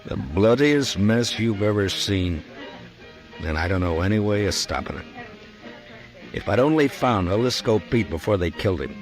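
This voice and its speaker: Casting: male actor as grave, commanding American western marshal, low-voiced, clipped lines, old radio drama. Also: lively intonation, normal speed, over music, lightly acted. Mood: weary. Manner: terse, commanding.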